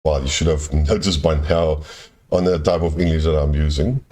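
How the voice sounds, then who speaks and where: Deep-voiced Afrikaans-accented man, halting monologue. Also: fairly even intonation, dark tone. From born in Germany, raised in South Africa. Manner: halting, searching for words with many restarts. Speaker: video essayist. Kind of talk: unscripted monologue.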